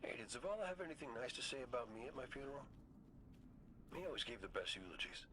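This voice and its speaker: An actor playing a cocky but soft-hearted robot gunslinger in a video game, with a folksy, wry drawl. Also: male voice, medium pitch, big pitch swings, quick pace, lightly acted. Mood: joking. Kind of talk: scripted video game dialogue. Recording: clear background noise, slightly distant.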